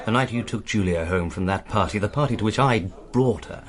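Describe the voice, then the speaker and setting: An actor playing an ambitious, stubborn British army officer and helicopter pilot in a radio drama, with an intense, persuasive manner. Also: male voice, lowish voice, a little fast, natural voice. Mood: scheming, persuasive.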